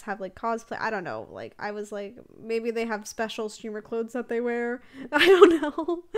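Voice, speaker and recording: A woman's voice: on the high side, a little mellow. Chatty young woman, allergy-stuffy. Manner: rambling, chatty, giggles often, many fillers. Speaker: Sims builder and streamer. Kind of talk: casual voiceover over a speed build.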